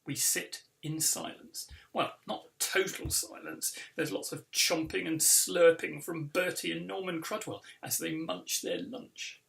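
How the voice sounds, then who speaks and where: A man with a British accent reads a children's story expressively, as its author. His voice is bright and forceful with a gravelly creak, recorded slightly away from the microphone. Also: fairly high pitch, animated, measured pace, hardly any pauses, far from the mic, rough recording. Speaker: author reading own book.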